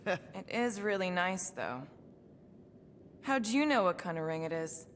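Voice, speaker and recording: An actress playing a guarded, serious female assassin in a video game, speaking in a measured, dry, deliberate way. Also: lowish voice, animated, long reverb tail, slight background noise. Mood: wry, softened. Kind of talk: dramatic video game acting.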